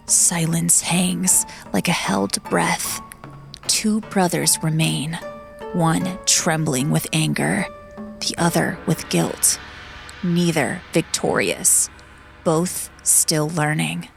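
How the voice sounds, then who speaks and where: A woman narrating a dramatic audiobook story in a hushed, solemn storytelling manner. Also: low-pitched, fairly even intonation, takes it slowly, gravelly, over music.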